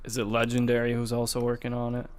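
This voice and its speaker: A man's voice: medium pitch, completely flat delivery, fast talker, smooth. A man co-hosting a podcast in casual, conversational gaming chat. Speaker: podcast co-host.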